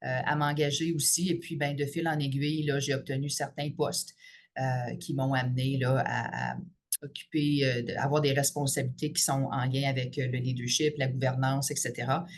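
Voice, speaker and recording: Measured Franco-Ontarian woman interviewee. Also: very deep voice, little pitch movement. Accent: Franco-Ontarian French. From Ottawa region, Ontario, Canada. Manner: measured, frequent "euh" and "là" fillers. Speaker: teachers' union president, guest. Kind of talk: podcast interview.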